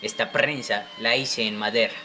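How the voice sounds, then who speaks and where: A man's voice: lowish voice, fairly even intonation, moderate pace, pushed and bright, slight background noise. A man playing a courteous inventor from centuries ago, speaking formally in an amateur radio drama. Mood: courteous, proud.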